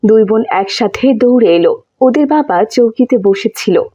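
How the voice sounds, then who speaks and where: A woman's voice: a little high, lively intonation, normal speed, warm tone, slightly rough, highs cut off. A woman narrating a scripted moral story for children as a storyteller.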